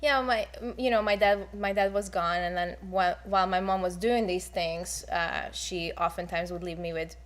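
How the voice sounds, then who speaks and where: A woman's voice: on the low side, fairly even intonation, moderate pace, hardly any pauses. A young woman from Hungary, speaking candidly with many fillers as she shares her personal testimony. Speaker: guest.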